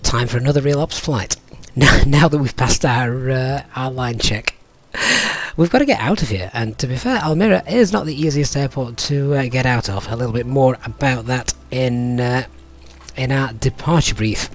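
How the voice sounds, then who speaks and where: A middle-aged man with a slightly croaky, cold-affected voice, hosting a livestream in a chatty, hesitant, casual way. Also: mid-range voice, normal speed. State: has a cold. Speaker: flight simulator streamer and host.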